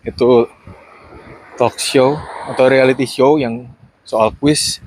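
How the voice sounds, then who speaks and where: A man's voice: medium pitch, husky, no room sound, low-quality recording. A young Indonesian man, a graduate student and podcast host, chatting casually and explaining things while walking outdoors on a campus. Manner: relaxed, explanatory, mixes in English words. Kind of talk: casual walking vlog chat. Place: outdoors on a university campus in autumn.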